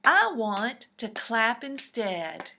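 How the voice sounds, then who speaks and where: A woman's voice: on the high side, big pitch swings, drawn-out words, sharp tone, slight background noise, heavily acted. A woman voicing a grumpy, stubborn, childlike male toad for a children's storybook, speaking insistently.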